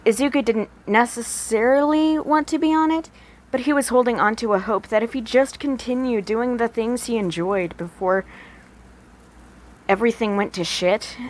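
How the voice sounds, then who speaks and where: A woman narrating a story as an amateur audiobook reader, working from a written script. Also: a little high. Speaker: podfic reader.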